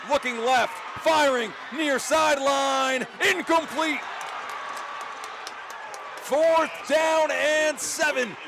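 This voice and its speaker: A man calling live high school football as a radio play-by-play announcer, projecting with emphatic, excitable delivery. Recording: stadium crowd noise behind broadcast commentary. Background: loud outdoor stadium crowd and ambience.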